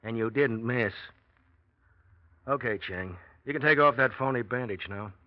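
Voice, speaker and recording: A man's voice: mid-range voice, unhurried, slight background noise, close to an everyday voice. An actor playing a cool, accusing man in a 1940s radio crime drama. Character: man coolly exposing a criminal's ruse. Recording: dull vintage radio recording.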